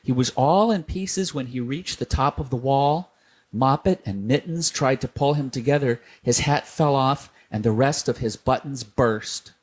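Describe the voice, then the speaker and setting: A man reading a children's storybook aloud, gentle and unhurried. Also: medium pitch.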